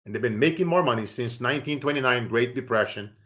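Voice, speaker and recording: Guy doing a casual finance video, mid-pitched voice, earnest and kinda preachy. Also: fast talker, fairly bright tone. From Brazil. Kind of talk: casual promotional monologue.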